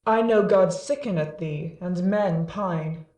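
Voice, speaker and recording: A man's voice: high-pitched, darkish tone. A man playing a proud god of light who pleads for mercy in archaic, declamatory verse drama. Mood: proud warning. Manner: lofty, measured, archaic. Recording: echoey room, distant from mic.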